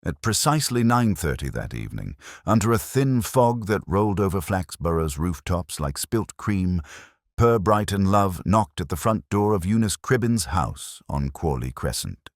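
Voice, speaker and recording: A man narrating a mystery story in a slow, hushed, deliberate way. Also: low-pitched, sing-song, no room sound, studio quality.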